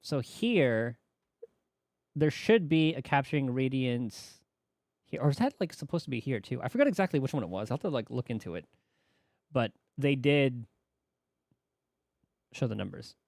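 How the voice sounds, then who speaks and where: A male game streamer chatting casually, with a mid-pitched voice that moves up and down a lot. He rambles tentatively as he thinks aloud, recorded close and dry. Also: sing-song, normal speed, vocal fry, pauses often, darkish tone, dry recording. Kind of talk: casual livestream chat about game mechanics.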